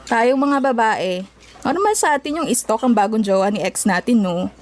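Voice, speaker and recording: Actress as feisty high-pitched young Filipino woman. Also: expressive, normal speed, darkish tone, close to an everyday voice. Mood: teasing, animated. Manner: blunt, teasing, taunting slangy delivery. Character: feisty, brash young woman, combative but loyal friend. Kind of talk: Filipino audio drama acting.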